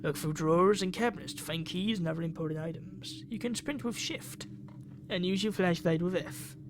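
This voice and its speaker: High-voiced man reading game text aloud. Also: normal speed, background noise. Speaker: gaming video host. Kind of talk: dramatic read-aloud of game text with casual asides.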